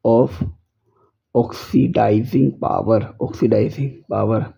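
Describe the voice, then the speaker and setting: An Indian-accented man gives an exam lesson in a very dark, relaxed voice. His throat is sore, so it comes out husky and creaky. He repeats key phrases and asks short checking questions as he goes. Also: mid-range voice, low-quality recording. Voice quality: husky, gravelly. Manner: repetitive, checks understanding often, spells out words slowly. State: sore throat, frequent throat clearing. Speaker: chemistry teacher.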